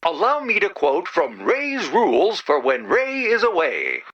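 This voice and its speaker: An actor voicing an anxious, childlike, earnest robot in an animated video game, with a timid, hesitant manner and a robotic filter. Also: mid-range voice, unhurried, muffled recording, a little hiss or hum, full character voice. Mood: worried, earnest. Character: anxious, childlike, well-meaning robot, literal-minded.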